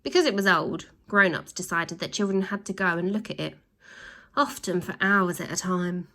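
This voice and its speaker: A woman narrating a children's book aloud in an animated storytelling style. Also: lowish voice, moderate pace.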